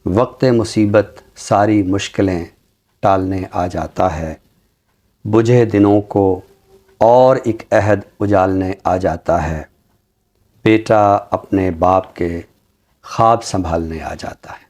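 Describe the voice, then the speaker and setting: A middle-aged man with a Pakistani accent and a fairly low voice. He presents literature in a measured, formal way. He often repeats a phrase to drive a point home. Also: a little mellow. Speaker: literary show presenter and book reviewer. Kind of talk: measured literary talk with poetry recitation.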